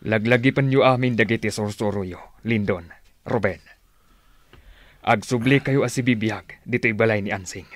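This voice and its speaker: A man's voice: fairly low pitch, moderate pace, no echo, lightly acted. A man with a Filipino accent playing a solemn, devout older uncle in a radio drama. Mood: solemn, concerned.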